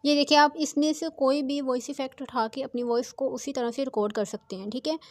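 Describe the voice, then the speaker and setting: A woman's voice: high voice, steady tone, brisk. A woman narrating a step-by-step app tutorial as the channel's host.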